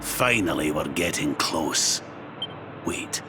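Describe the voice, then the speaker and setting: A man's voice: extremely low pitch, lively intonation, slow, noisy background, heavily acted. A man playing a wry, practical adventurer in a video game, musing. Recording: game music behind the voice.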